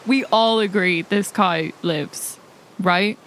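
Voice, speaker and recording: A woman's voice: mid-range voice, slow, a bit bright, rough recording. A woman improvises banter in character during a tabletop game. Her voice is in a middle register, with slight ambient noise behind it.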